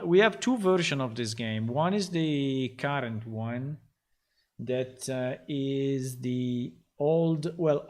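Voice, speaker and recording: Slow, halting man thinking aloud. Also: mid-range voice, a little mellow. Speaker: game developer streaming his work. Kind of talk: casual live-stream talk while working.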